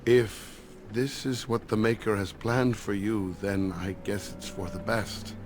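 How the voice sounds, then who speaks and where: A man playing a gentle, resigned middle-aged elf father in a fantasy video game, speaking haltingly. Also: on the low side, fairly even intonation, a little hiss or hum, lightly acted. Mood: tender, sad.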